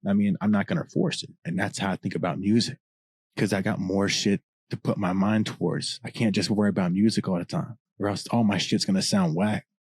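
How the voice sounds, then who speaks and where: A man with a deep voice, a rapper talking as a podcast guest, passionate, swearing often and rambling in long answers. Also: steady tone, a little fast, darkish tone, slightly rough, dry recording. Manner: passionate, profane, long-winded. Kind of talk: casual candid chat.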